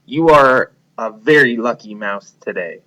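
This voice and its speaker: A man's voice: medium pitch, lively intonation, a little slow, bright, forceful tone. A man doing a boastful storybook lion king. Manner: boastful, mocking. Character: proud male lion, king of the jungle. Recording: dull lo-fi recording.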